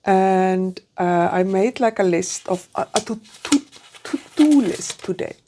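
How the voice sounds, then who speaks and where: Mellow, halting woman. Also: medium pitch, lively intonation, a little hiss or hum. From Iceland. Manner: halting, self-correcting, thinking aloud. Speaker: PhD student vlogger. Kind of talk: casual chat to camera. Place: small room with curtains, indoors. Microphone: clip-on lapel mic.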